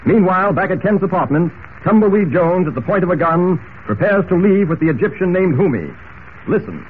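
A man's voice: high-pitched, expressive, brisk. A man playing a dramatic, urgent announcer, narrating a 1940s radio serial. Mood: suspenseful. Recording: tinny, hissy old radio recording.

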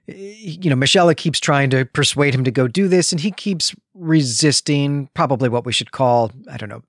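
A man's voice: medium pitch, no room sound. Male podcast co-host, mid-range voice, conversational story recap, wry asides, dry close sound.

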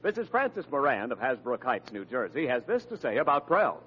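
Lively American radio announcer man. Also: mid-range voice, normal speed, breathy edge. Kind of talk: 1940s radio announcing, scripted ad read. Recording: muffled old radio recording with music.

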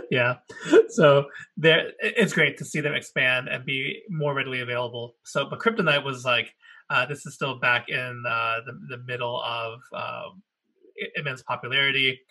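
Man, very bright tone, casual podcast chat, rambling, restarts a lot. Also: mid-range voice, steady tone, moderate pace, poor audio. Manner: rambling, self-correcting, laughs often. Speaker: podcast co-host.

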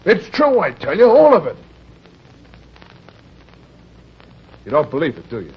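An actor playing a frightened, down-on-his-luck husband and father in old-time radio crime drama, with an American accent, a husky voice and stammering, pleading delivery. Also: a little high, wildly swooping pitch, quick pace, full character voice. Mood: desperate pleading. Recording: dull, lo-fi old radio recording.

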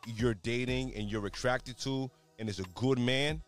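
Guy arguing his point on a podcast, earnest, trips over words a lot, a little off mic, soft music under it. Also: medium pitch, steady tone, unhurried. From United States, Haitian parents. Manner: earnest, repeats "you know what I mean", stumbles over words. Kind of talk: casual podcast debate. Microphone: told to talk closer to the mic.

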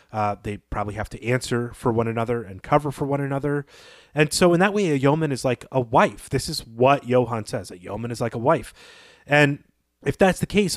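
A man's voice: mid-range voice, no room sound, clean studio sound. A man co-hosting a podcast, analysing fiction conversationally and wordily, thinking aloud. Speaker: podcast co-host.

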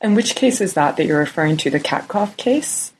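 A woman's voice: sits low, expressive, fairly quick, a little hiss or hum, low-quality recording. A woman co-hosting an academic podcast, reading a scripted introduction and then chatting with her guest. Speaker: podcast co-host. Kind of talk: podcast interview.

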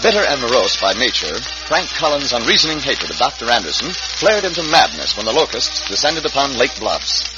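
A man's voice: medium pitch, big pitch swings, brisk, few pauses. A man narrating a radio drama as announcer, in a theatrical, emphatic vintage style. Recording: muffled old radio recording. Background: loud dramatic music.

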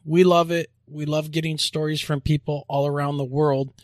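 An upbeat man co-hosting a podcast, with a somewhat high voice. He chats casually and laughs easily, recorded close in a dry, dead room. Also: little pitch movement, moderate pace, no room sound. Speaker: podcast co-host.

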